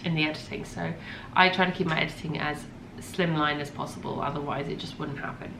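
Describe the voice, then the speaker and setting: A woman's voice: low-pitched, fairly even intonation, brisk, gravelly. Chatty congested woman, echoey room. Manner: rambling, chatty, with many ums and self-corrections. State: congested from a cold. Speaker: podcast host. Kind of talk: casual chat. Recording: echoey room with faint ambient noise.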